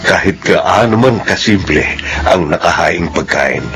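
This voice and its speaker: A deep, solemn father in middle age, devoted to his children and heavy with regret. He speaks with earnest sentiment in a drama, over clear background music. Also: lively intonation, a little slow. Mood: tender, sentimental. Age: middle-aged. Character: remorseful, loving father of two grown children. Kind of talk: Tagalog drama acting.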